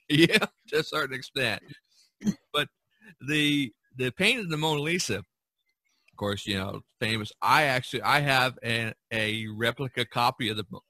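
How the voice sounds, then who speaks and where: A man's voice: mid-range voice, sharp tone, dry recording. A man talking casually as a podcast host, telling stories in a rambling, discursive way. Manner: rambling, storytelling. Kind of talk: casual discussion of art history.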